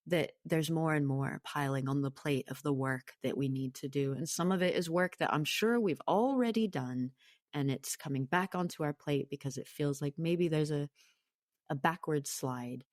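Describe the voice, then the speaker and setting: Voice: mid-range voice, no echo, studio quality. A podcast guest talking conversationally in an interview, reflective but emphatic.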